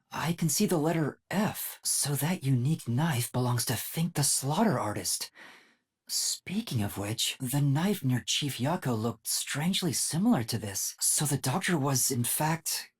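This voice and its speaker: A man's voice: mid-range voice, lively intonation, unhurried, breathy edge. A man voicing an earnest, timid young male detective in a video game, with a light boyish voice and a hesitant, thoughtful way of thinking aloud. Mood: pondering. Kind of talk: video game voice acting, investigative monologue.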